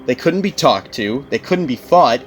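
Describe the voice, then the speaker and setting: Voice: mid-range voice, animated, moderate pace. A narrator reading a scripted dramatic audiobook story.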